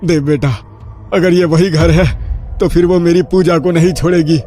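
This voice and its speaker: Man playing frantic parent, high raised voice. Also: steady tone, normal speed, dark tone, slight background noise, lightly acted. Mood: worried, determined. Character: anxious middle-aged parent, frantic over missing only daughter. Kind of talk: Hindi horror cartoon storytelling.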